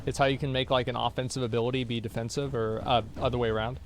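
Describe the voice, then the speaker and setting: A man's voice: mid-range voice, flat delivery, fast talker, a little hiss or hum. Blunt, rambling young man streamer. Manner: rambling, blunt, reads out and answers chat questions. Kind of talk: casual chat with livestream viewers while gaming.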